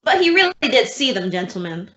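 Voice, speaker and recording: A woman playing a wry, quick-witted schoolteacher with dry, deadpan delivery in old-time radio comedy style. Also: a little high. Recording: roomy, dull lo-fi recording.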